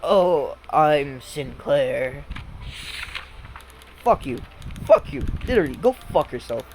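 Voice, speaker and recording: A gamer from the Philippines giving crude, joking commentary over a game, swearing often and reacting loudly. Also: medium pitch, sing-song, takes it slowly. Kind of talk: let's-play commentary. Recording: noisy background hiss.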